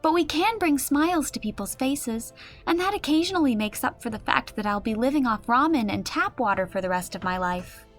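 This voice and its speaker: Female game master narrator, animated and fairly high, dramatic storytelling. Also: brisk, faint music behind. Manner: storytelling, expressive.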